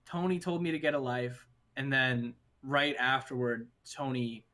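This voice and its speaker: Young guy on a stream chatting about movies, bright punchy voice, sweary and slangy. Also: medium pitch, steady tone, measured pace. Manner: emphatic, slangy, profane. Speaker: host of a movie discussion livestream. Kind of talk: casual chat.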